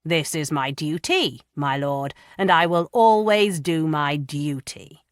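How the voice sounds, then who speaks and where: A woman's voice: deep voice, takes it slowly, no room sound, studio quality. A woman doing a pompous blustering magistrate. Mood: self-righteous. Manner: pompous, browbeating, bellowing. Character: pompous testy male magistrate, thin, blustering.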